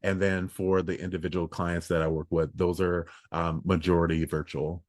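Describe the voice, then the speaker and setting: A man, a leadership coach, speaking in an earnest, measured way with frequent ums as a podcast guest. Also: deep voice, flat delivery, no echo, clean studio sound. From United States. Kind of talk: casual interview talk.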